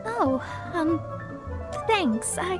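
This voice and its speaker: A woman's voice: very high voice, expressive, extremely slow, full character voice. A woman playing a proud, earnest young astrologer in a video game, formal in speech and easily flustered. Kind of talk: video game character acting. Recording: background music behind the voice.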